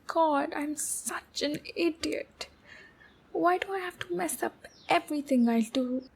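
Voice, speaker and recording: A woman's voice: sits high, vocal fry. A woman voicing a shy, anxious, clingy demon captor in a roleplay ASMR, speaking in a hesitant, pleading and soothing way. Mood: apologetic, flustered. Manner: hesitant, halting, pleading, soothing with pet names. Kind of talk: roleplay ASMR, intimate acted monologue.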